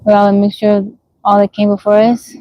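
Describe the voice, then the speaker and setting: A woman's voice: mid-range voice, monotone, fairly quick, highs cut off. Frank woman in her forties on livestream. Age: 40s. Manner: frank, questioning, repeats herself. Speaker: livestream host. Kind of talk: casual livestream chat reading comments. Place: outdoors in the woods.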